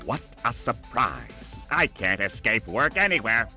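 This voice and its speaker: Whiny nasal sarcastic cartoon octopus, deadpan and sour, music playing behind. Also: male voice, medium pitch, measured pace, exaggerated voice. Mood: sarcastic, impatient.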